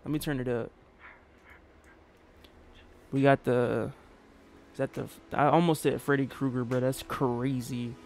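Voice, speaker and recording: A man's voice: medium pitch, little pitch movement, a little slow, vocal fry, pauses often, darkish tone, slight background noise. A young man casually reacting to a rap song from his small bedroom, slangy and laid-back, swearing often. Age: young adult. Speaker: music reaction youtuber. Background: hip hop track playing.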